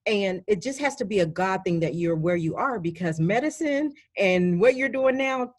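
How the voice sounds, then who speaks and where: A woman hosting an interview in an effusive, chatty way with many pauses and fillers. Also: mid-range voice, expressive, dry recording, clean studio sound. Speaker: host. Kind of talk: warm upbeat interview chat.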